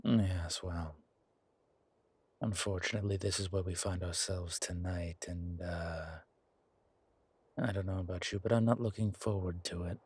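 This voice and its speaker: An actor playing a suave, wry incubus demon in an intimate audio roleplay, speaking in a teasing, polished, deliberate manner with a husky, breathy voice. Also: male voice, low voice, fairly even intonation, a little mellow, no echo. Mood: teasing, dry.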